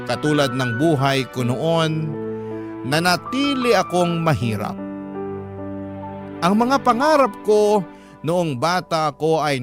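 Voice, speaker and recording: A man reading a dramatic first-person story in a solemn, sentimental way, as a radio storyteller. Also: on the high side. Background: prominent music bed.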